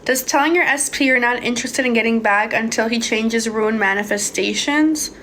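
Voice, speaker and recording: Young woman from hungary, slightly high voice, casual livestream coach, swears, calls people babe, phone mic, faint noise. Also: normal speed, a bit bright. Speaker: manifestation coach. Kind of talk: casual livestream Q&A, reading viewer comments.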